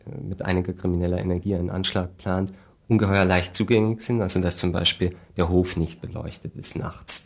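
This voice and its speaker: Deep, flat-toned man explaining critically. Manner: critical, dryly sardonic, deliberate. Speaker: refugee aid activist, interview guest. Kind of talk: spontaneous explanatory interview talk.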